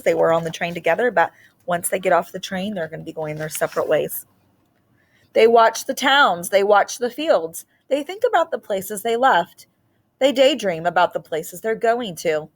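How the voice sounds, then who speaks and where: A woman storyteller reading a picture book aloud expressively, in short deliberate phrases with dramatic pauses and the odd aside. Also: on the low side, lively intonation.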